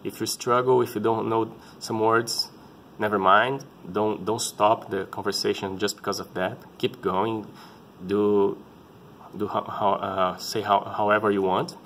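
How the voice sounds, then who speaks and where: Man in his thirties, Brazilian Portuguese accent, native Portuguese speaker using English. Low-pitched, earnest, halting delivery with frequent restarts. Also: level tone, fairly bright tone, slight background noise. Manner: halting, earnest, often restarting to find words. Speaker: parent sharing his experience. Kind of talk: casual personal vlog talk.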